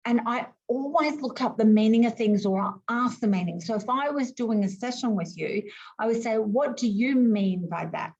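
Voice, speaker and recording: A woman whose first languages were Greek and Arabic, teaching an online class over a video call in a lively, emphatic way full of rhetorical questions. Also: on the high side, normal speed, muffled recording.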